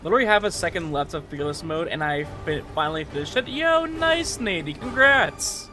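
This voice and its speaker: A man is livestreaming a game in a casual, excitable way. His voice sits a little high and moves around a lot as he talks in informal slang. Game music plays softly behind him. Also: brisk. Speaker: game streamer. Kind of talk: casual livestream commentary and chat. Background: video game music.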